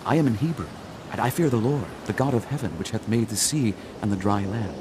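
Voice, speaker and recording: A man playing a Hebrew prophet, solemn and fervent, in a measured dramatised scripture reading. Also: fairly low pitch, noisy background, natural voice. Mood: solemn, resigned.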